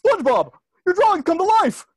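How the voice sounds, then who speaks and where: A performer voicing a dim-witted, goofy male cartoon starfish sidekick with a husky, exclamatory delivery at a table read. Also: very high-pitched, sing-song, a little fast, no room sound. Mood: dopey contentment.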